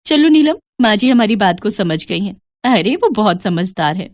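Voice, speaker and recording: A woman's voice: medium pitch, sing-song, normal speed, dry recording, close to an everyday voice. A woman voicing a haughty, superstitious young pregnant daughter-in-law in a family story, insistent and emphatic. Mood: smug.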